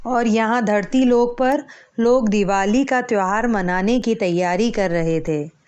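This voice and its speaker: A woman's voice: medium pitch, measured pace, darkish tone, clear, resonant tone. A woman narrating a scripted children's story as a storyteller.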